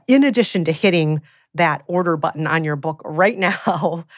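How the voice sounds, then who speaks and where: A woman's voice: low voice, no room sound, studio quality. A woman hosting a podcast interview, asking encouraging questions. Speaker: podcast host.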